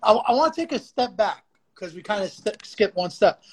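A man hosting a weekly podcast on a livestream, chatting with a guest in a relaxed and friendly way. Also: high voice, moderate pace, husky. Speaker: podcast host. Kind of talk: casual chat.

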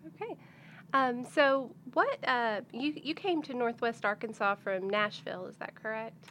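A woman with a slightly high voice, working as an interviewer. Her delivery is casual and conversational, recorded with a little background noise. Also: measured pace, fairly bright tone. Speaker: interviewer, music editor.

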